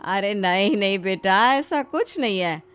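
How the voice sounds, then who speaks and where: A woman's voice: medium pitch, expressive, a little slow, fairly bright tone, clear, resonant tone, soft background music. A woman voicing a middle-aged mother-in-law in a family story, caring and hesitant yet slyly demanding. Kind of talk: dramatized Hindi family storybook.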